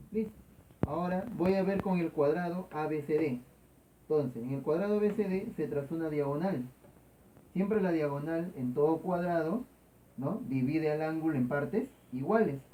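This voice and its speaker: A man's voice: on the high side, warm tone, poor audio. A man teaching math in a step-by-step tutorial, explaining didactically and often checking with short rhetorical questions. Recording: clear background noise.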